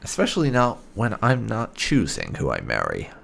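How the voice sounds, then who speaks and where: A man with a fairly low, animated voice plays through a game in character. He slips between sardonic roleplay lines and casual commentary, over faint background noise. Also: moderate pace, vocal fry. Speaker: gaming video creator playing a roleplay character.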